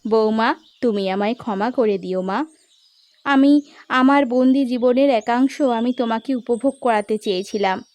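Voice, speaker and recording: Woman acting a high-voiced stern mother-in-law. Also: unhurried, a little mellow, no echo, natural voice. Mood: apologetic. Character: stern scolding older mother-in-law, proud, protective of her son. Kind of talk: animated story character acting.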